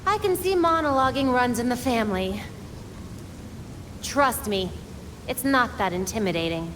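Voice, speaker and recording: Defiant young heroine, fairly high. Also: female voice, animated, moderate pace, a bit bright, slight background noise. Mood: sardonic defiance. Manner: dry, confrontational. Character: defiant young vigilante heroine, principled and sardonic. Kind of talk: cinematic video game acting.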